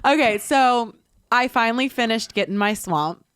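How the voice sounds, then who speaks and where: A woman's voice: on the high side, normal speed, smooth, dry recording, clean studio sound. A young woman from the American South co-hosting a podcast, chatting casually and laughing a lot as she tells stories. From American South, Georgia. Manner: giggly, animated storyteller. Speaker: podcast co-host. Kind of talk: casual chat.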